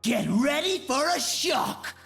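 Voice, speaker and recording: A man voicing a manic, vengeful mad scientist in a video game, raspy and theatrically unhinged. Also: extremely high pitch, sing-song, slow, sharp tone, soft background music, heavily acted. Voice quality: raspy, creaky. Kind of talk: video game character voice lines.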